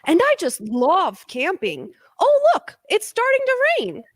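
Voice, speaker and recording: Actress as breezy, pushy comic supervillainess: high voice, animated swings, dry close sound. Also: female voice, unhurried, dry recording, full character voice. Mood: gleeful. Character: pushy, breezy female weather supervillain, cheerfully intrusive. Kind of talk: comedic radio play acting over video call. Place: room on a video call.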